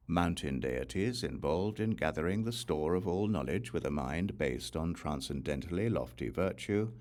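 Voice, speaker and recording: A man with a very low voice narrates a sacred Buddhist text as an audiobook. His pitch moves in lively swings while the delivery stays measured and solemn. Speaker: audiobook reader. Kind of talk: scripted narration of Buddhist scripture.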